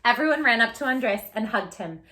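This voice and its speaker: A woman hosting a children's read-aloud, expressive and encouraging, emphasising words and chatting directly to young listeners. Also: on the high side, a little fast. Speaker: storybook reader, channel host.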